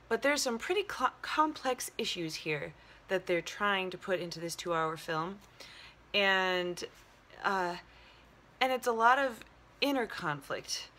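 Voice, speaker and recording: A woman's voice: fairly low pitch, sharp tone. Casual woman reviewer thinking aloud. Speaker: movie review show host. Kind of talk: casual solo movie review chat.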